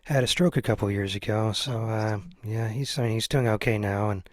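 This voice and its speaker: A man's voice: fairly low pitch, fairly quick, no echo, clean studio sound. A middle-aged man hosting an interview in a casual, conversational style. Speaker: interviewer, podcast host.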